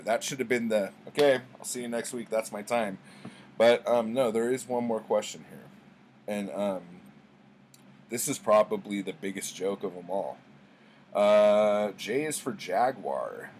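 A middle-aged man with a fairly low voice chats like a home vlogger. His delivery is conversational and rambling, full of slang and swearing. The webcam recording carries a faint layer of noise. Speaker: book vlogger. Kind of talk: casual chat, rambling storytelling. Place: small indoor room at home with bookshelves.

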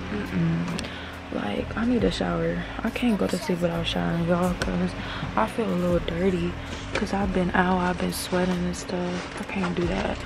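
A woman's voice: lowish voice, gravelly, poor audio. A young adult woman chatting casually to a handheld camera in informal, slangy student-vlogger talk. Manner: informal, slangy, filler-heavy with "like" and "y'all". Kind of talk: casual vlog chat to camera. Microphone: handheld camera at arm's length. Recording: loud music under the voice. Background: loud music.

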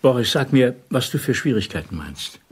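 An exiled spy in a radio play. He sounds very hoarse-edged and husky, anxious and impatient, with lively swings in pitch. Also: normal speed. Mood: reproachful. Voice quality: husky, raspy. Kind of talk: German radio drama acting.

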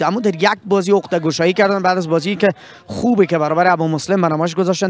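A young man with an Afghan Dari accent, a sports presenter interviewing after a match and signing off with a handheld mic outdoors. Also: high-pitched. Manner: formal, fluent broadcaster delivery. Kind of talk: post-match interview and sign-off. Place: outdoor pitchside sponsor backdrop.

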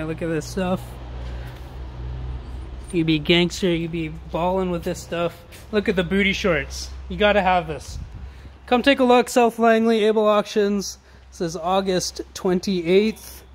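A man's voice: sits high, steady tone, a little slow. A man presenting auction items in an informal promotional walkthrough, with enthusiastic, slangy sales patter. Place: large warehouse showroom, indoors. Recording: noticeable background noise in warehouse.

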